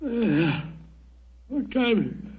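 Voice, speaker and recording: Blustering, high-pitched, husky British gentleman. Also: very animated, takes it slowly, full character voice. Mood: groggy, fretful. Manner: blustering, stammering, mumbling. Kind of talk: 1940s radio drama acting. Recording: muffled 1940s radio recording.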